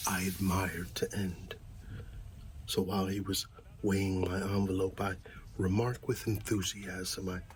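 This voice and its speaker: Male ASMR performer, low and unhurried, playing a supportive friend in a hushed half-whisper, faint ambient noise behind. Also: low-quality recording. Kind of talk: soft ASMR roleplay, reading aloud and comforting a friend.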